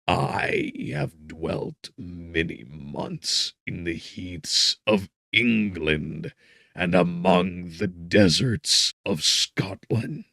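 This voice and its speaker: A man voicing a wretched, vengeful monster in a gothic audiobook, with smothered, rasping breath and grandiose, bitter menace. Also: sits low, a bit bright, no room sound, clean studio sound, full character voice. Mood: bitter anguish. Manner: slow, drawn-out, grandiose threats. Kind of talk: dramatic gothic audiobook acting.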